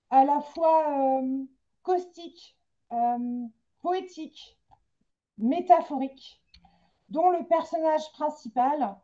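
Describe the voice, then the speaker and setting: A woman's voice: high voice, dark tone, highs cut off. A woman interviewing an author, introducing the guest with frequent hesitations. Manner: hesitant, many fillers. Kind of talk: literary interview, reading an introduction.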